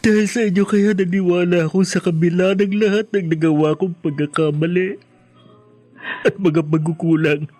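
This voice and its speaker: A man's voice: sits high, fairly even intonation, moderate pace, a little mellow, dry recording, soft background music, lightly acted. A man playing a remorseful, grateful grown son with a Filipino accent in an emotional radio drama. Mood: grateful, earnest.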